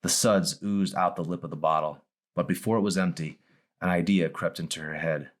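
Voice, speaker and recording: Male novelist, low register, audiobook-style narration of his own story. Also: fairly even intonation, normal speed. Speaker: author reading his novel.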